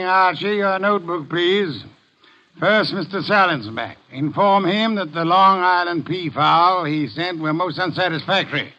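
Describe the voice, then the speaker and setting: An imperious, fussy big gourmet detective in a vintage radio play. His voice is high and forceful, with pompous, exact diction, on a dull old recording. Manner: pompous, precise, formal diction. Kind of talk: 1950s radio drama acting.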